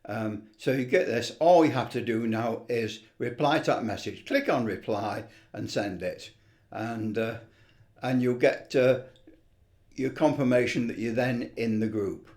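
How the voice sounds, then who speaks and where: A man's voice: medium pitch, a little slow, darkish tone. A man giving a casual, unscripted screen tutorial, rambling a little and muttering to himself as he works. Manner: unscripted, rambling, talks to himself while working. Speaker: amateur video presenter, CB radio hobbyist.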